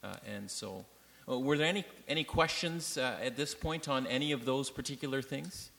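A man leading a church class with a loud voice, talking loosely with many fillers and putting questions to the room. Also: medium pitch, normal speed, creaky, long reverb tail. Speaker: class leader.

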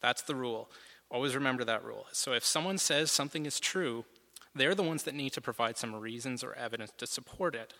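A man presents a lesson from his notes, moving step by step and dropping in a few fillers. His voice is bright and forceful. It rings out across a reverberant hall. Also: medium pitch, brisk. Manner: steady and methodical, some fillers. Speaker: guest presenter.

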